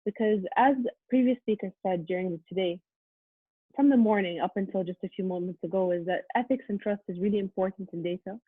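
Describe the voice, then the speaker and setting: A woman giving a prepared, earnest presentation over a video call, steady with frequent um fillers. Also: mid-range voice, fairly quick, husky, no echo. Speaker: conference presenter. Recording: tinny phone-like line.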